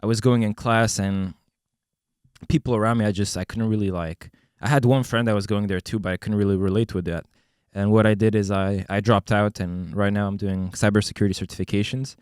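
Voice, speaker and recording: A young man with a low-pitched, smooth, resonant voice hosts a casual podcast conversation. He talks in a relaxed way, often laughing and pausing on fillers. The sound is close and dry, with no room echo. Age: young adult. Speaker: podcast host.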